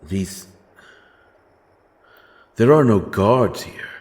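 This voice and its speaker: A man playing a grim, doomed, godless avenger in a dark fantasy audio drama, with a brooding, solemn delivery and weighty pauses. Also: lowish voice, lively intonation. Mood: tense, demanding.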